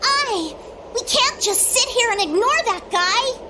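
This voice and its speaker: A woman's voice: extremely high pitch, expressive, a little slow. A woman voicing a feisty, determined little pirate girl in a video game, with a resolute manner. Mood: determined, grim. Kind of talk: dramatic video game voice acting. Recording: noisy ambient background.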